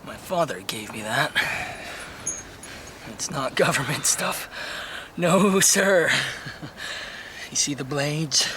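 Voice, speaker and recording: An actor playing a brash, bullying young American soldier who swaggers and goads in folksy slang in a radio drama. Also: male voice, a little high, slow pace, long pauses, sharp tone, slight background noise. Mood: menacing, taunting.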